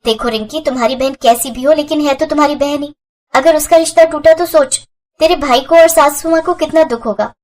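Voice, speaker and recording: A woman's voice: sits high, fairly quick, a little mellow, lightly acted. A woman voicing a kind, sensible young sister-in-law who keeps the peace in a moral story. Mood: earnest reproach. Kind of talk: Hindi moral story voice acting.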